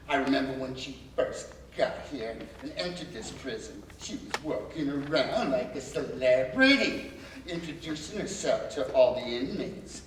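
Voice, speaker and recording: An actor in a stage musical playing a tough, scornful prison guard with a contemptuous manner. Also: male voice, medium pitch, a little hiss or hum, lightly acted. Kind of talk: musical theatre dialogue and singing. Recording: very echoey distant live staged reading with music.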